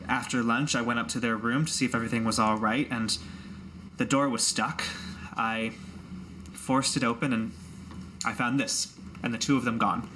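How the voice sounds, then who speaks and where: A man's voice: mid-range voice, noisy background, natural voice. Man playing a prim, stern woman innkeeper. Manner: prim, formal, wordy and indignant. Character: stern, formal woman innkeeper at a fantasy inn.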